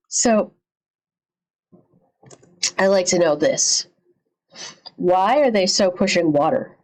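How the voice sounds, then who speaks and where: Casual slangy woman reacting live. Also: medium pitch. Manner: slangy, mildly profane, repeats phrases. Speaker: livestream host reacting to a horror series.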